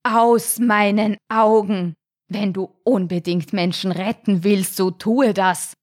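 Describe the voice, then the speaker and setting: A blustering father shark from a children's storybook, played by a woman reader. He is scornful and commanding, drawing out his indignant words slowly. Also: medium pitch, fairly even intonation, a little mellow. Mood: outraged.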